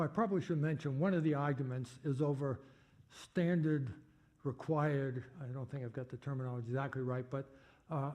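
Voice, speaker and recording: An older man with a Boston accent, answering audience questions at a lecture in a halting way with many fillers. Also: medium pitch, dark tone. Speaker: guest speaker.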